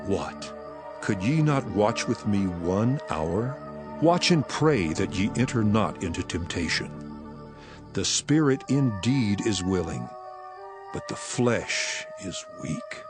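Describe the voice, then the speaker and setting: An actor playing a solemn, sorrowful holy teacher with authority, speaking in measured declamation in a scripture audio drama. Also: male voice, lowish voice, expressive. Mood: sorrowful reproach. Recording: background music under the voice.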